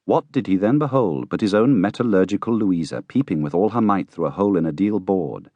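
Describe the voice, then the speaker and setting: A man reading a classic novel as an audiobook narrator, with expressive, theatrical storytelling. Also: sits low, a little fast, no room sound, muffled recording. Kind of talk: scripted literary narration.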